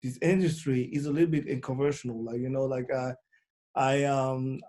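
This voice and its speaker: Guest: young man with a French accent, warm dark tone, halting speech full of fillers. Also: mid-range voice, flat delivery. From Congo, born in France. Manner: halting, many fillers and restarts. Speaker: booking agent, guest. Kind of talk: casual interview.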